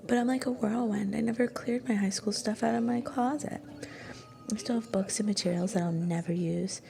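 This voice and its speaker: A woman playing a shy, sweet young student girlfriend in an ASMR roleplay, speaking softly and breathily with gentle hesitation, often slipping into a whisper. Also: mid-range voice, fairly even intonation, a little fast, creaky, natural voice. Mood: soft, confiding.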